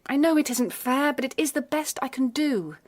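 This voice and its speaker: An actress playing a gentle but quietly firm young Victorian gentlewoman, speaking in a radio drama. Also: high-pitched, expressive, moderate pace. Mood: soft, firm.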